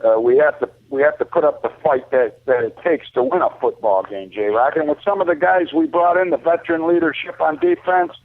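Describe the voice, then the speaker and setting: A man's voice: a little high, a little fast, few pauses, no echo. An American man giving emphatic, opinionated sports commentary in a casual, colloquial way, repeating himself as he makes his points. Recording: muffled lo-fi phone-like radio sound.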